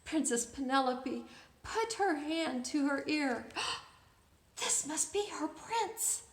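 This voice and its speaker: A woman reading a children's story aloud expressively, pausing to chat and ask the young listeners questions. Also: high voice, lively intonation, roomy sound. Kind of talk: animated children's read-aloud.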